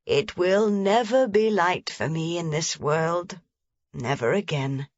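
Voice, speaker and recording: A woman voicing a frail, blind old grandmother, soft, gentle and mournful, in a storybook reading. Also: fairly low pitch, takes it slowly, no echo. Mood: sorrowful. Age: over 60. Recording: slightly dull, dry lo-fi recording.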